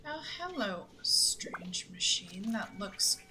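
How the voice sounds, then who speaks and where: Woman casually commentating her gameplay, bright voice. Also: medium pitch, sing-song, slow, whispered. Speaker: game streamer.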